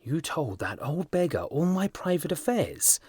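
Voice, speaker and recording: A man voicing an earnest, excitable, easily embarrassed young gentleman in an audiobook, plaintive and impulsive. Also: on the high side, unhurried, breathy edge, voice pushed up, full character voice. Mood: shocked, indignant. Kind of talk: audiobook character dialogue.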